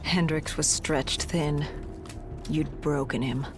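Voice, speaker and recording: A woman's voice: low voice, steady tone, slow, loud music behind the voice. A woman playing a defiant, bitter soldier in a video game, terse and sardonic. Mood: grim. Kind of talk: dramatic video game acting.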